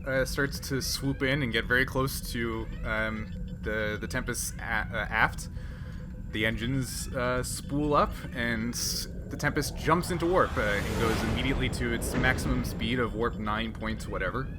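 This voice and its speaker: A man running a tabletop game as game master, narrating with many pauses and restarts. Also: medium pitch, background noise. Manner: hesitant, many ums and restarts.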